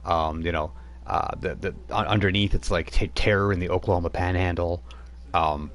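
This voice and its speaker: Deep-voiced man narrating a tabletop game. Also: steady tone, normal speed, a bit bright, slight background noise. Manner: rambling with many fillers and restarts. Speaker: game master.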